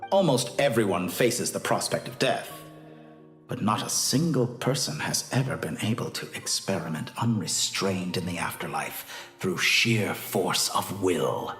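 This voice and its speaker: An actor playing an arrogant, calm mad scientist villain in a video game, speaking in a pompous, deliberate, theatrical way. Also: male voice, fairly low pitch, roomy sound. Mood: grandiose.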